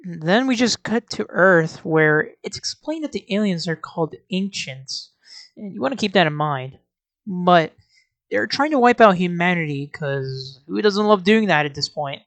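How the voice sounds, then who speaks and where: A woman's voice: on the low side, expressive, measured pace, dry recording. A woman giving scripted video commentary as an anime reviewer, in an informal, sarcastic way.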